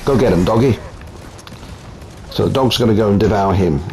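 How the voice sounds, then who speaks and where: A man's voice: on the low side, moderate pace, a little mellow, slight background noise. A man from Italy with an Italian accent, chatting casually at home through gameplay commentary with frequent ums. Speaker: game reviewer.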